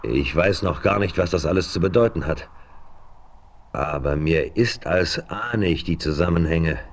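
A man's voice: sits low, a little slow. An actor playing an earnest, thoughtful frontiersman searching for his family in an old radio play. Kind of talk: 1970s radio play acting.

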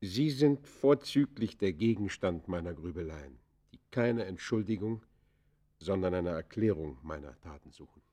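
Deep, mellow-voiced penitent criminal. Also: male voice, animated. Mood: confessional, brooding. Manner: formal, solemn, halting stage delivery. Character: penitent male criminal confessing his life, earnest and brooding. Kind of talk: classic German radio play acting, literary and declaimed.

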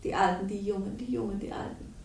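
An actress playing a shrewd, commanding rich widow of seventy in a 1960s radio crime drama, probing and self-assured. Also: female voice, steady tone, fast, vocal fry, darkish tone, slight background noise, lightly acted. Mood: probing, amused. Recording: roomy, distant, rumbly old radio recording.

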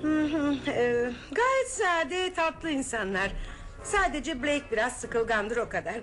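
A woman playing a chatty, fond aunt in a radio play, lively and talkative as she reminisces. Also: sits high, takes it slowly, heavily acted. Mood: reminiscing. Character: chatty middle-aged aunt, gossipy, fond. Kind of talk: 1970s Turkish radio drama acting. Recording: old radio broadcast with music.

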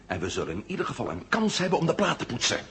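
A man's voice: mid-range voice, huge pitch swings, rapid-fire, a bit bright, slight background noise. A man acting a resourceful, decisive astronaut hero in a 1970 Dutch radio drama. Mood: coolly confident. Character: resourceful male astronaut hero, decisive captive planning escape.

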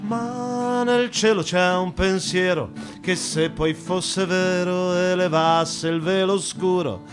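A man's voice: high voice, steady tone, slow. A man singing an acoustic protest ballad over guitar. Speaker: singer with guitar.

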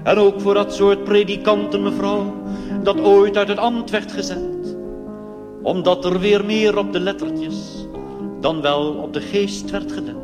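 A male cabaret performer with a high voice declaims rhythmically, moving between speech and song. He addresses listeners directly in a theatrical style. The sound has long hall reverb, with loud music accompanying him. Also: little pitch movement, a little slow. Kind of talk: theatrical cabaret song, half spoken, half sung.

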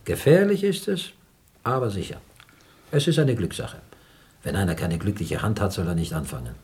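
An actor playing an older rich uncle in a radio play, miserly, grumbling and emphatically pleading poverty. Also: male voice, low-pitched, huge pitch swings, moderate pace, a little mellow, full character voice. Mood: wary. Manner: agitated, complaining, emphatic.

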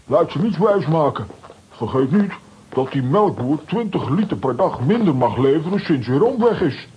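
An actor playing a brash, dismissive, sceptical friend in a children's audio drama. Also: male voice, fairly high pitch, very animated, normal speed, muffled recording, a little hiss or hum.

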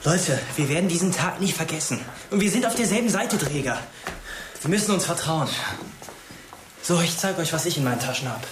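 A man's voice: a little high, expressive, a little fast, noisy background, natural voice. A young man playing an earnest, urgent, slangy schoolboy in a German radio drama, recorded in an echoey room.

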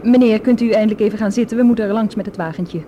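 An actress playing a guarded, slightly flirtatious working stewardess in a radio play, with a questioning manner. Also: female voice, mid-range voice, racing, noisy background, lightly acted. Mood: brisk, wary. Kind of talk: Dutch radio drama acting.

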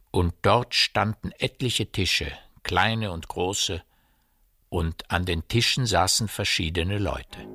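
A man's voice: on the low side, a bit bright, no room sound, full character voice. A man narrating a literary radio play in a precise, formal way. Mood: grave.

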